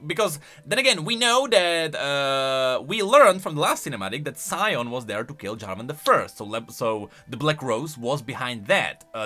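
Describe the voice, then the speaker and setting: A man delivers casual commentary in a bright, fairly high voice. His pitch swings widely as he thinks aloud and stresses points emphatically. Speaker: YouTube lore commentator. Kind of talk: casual, semi-improvised commentary.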